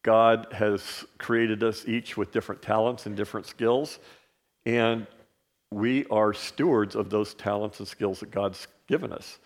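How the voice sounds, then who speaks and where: A man whose first language is not English, teaching a Bible lesson in a relaxed way, with many fillers and frequent "right?" checks. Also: lowish voice, unhurried.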